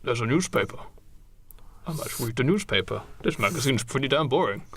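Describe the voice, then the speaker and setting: Man playing a gravelly, unbothered construction worker. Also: mid-range voice, animated, brisk, fairly bright tone. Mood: idly musing. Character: laid-back male construction worker, unbothered and rambling. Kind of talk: amateur improvised comedy radio play. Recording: noisy amateur podcast recording.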